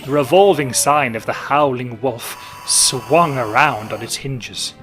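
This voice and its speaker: Gentleman narrator, theatrical and measured, over steady music. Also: mid-range voice. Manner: theatrical, genteel, measured storytelling. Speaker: storyteller host. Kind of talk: scripted narration. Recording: music behind.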